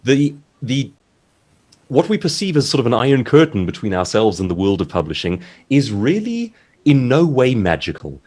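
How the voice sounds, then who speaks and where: Male guest author, low slightly husky voice, very animated intonation, articulate and emphatic advice-giving over a video call. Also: moderate pace. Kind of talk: seminar interview, giving advice.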